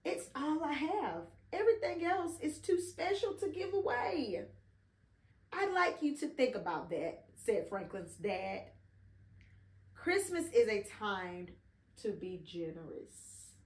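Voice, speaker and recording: A middle-aged woman reading a children's story aloud expressively, pausing to prompt and encourage a child. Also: high-pitched, sing-song. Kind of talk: children's read-along storytelling. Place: indoor living room beside a Christmas tree. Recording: roomy, echoing home recording.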